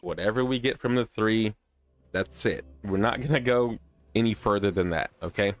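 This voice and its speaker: A man's voice: fairly low pitch, moderate pace. A man streaming a video game with casual, chatty commentary, playful slang and a self-deprecating streak. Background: music.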